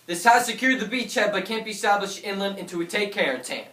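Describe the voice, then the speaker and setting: A man's voice: sits high, expressive, fast, sharp tone. A man playing a dutiful, battle-tested American paratrooper officer, declaiming his memories of combat in a theatrical war drama. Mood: solemn, reflective. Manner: declamatory, bellows battle orders. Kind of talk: theatrical monologue and dialogue. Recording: echoey room from far off, noisy.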